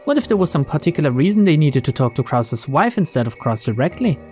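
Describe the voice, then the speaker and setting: A man reading a visual novel aloud as narrator, in an expressive, dramatic storytelling style. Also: a little high, quick pace. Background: faint game music.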